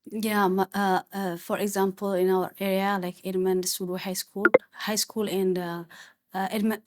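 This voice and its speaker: Woman from Ethiopia or Eritrea, mother, halting speech, mid pitch. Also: level tone. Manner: hesitant, many fillers. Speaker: parent. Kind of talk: community discussion.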